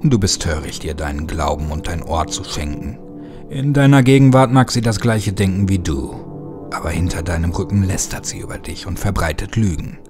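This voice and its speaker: A man voicing a menacing, scornful dark entity in a horror story, speaking in a slow, ominous, imperious way. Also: sits low, animated, music in the background, pitched below the performer's own voice. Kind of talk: horror audiobook character voice.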